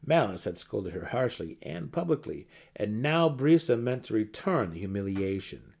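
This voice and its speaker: Gravelly, expressive man narrating fantasy. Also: lowish voice, normal speed. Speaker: audiobook narrator. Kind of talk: dramatic scripted narration of fantasy novel.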